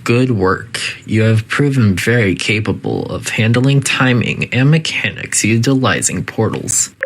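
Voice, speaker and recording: A man's voice: lowish voice, lively intonation, unhurried. A man voicing a coolly polite, faintly menacing facility AI that gives formal, detached test-chamber announcements in a video game. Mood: clinical, detached.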